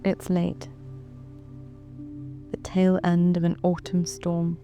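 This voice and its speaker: A woman with a British accent narrating a guided sleep meditation in a soft, calm, soothing way, with long measured pauses. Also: lowish voice. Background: background music.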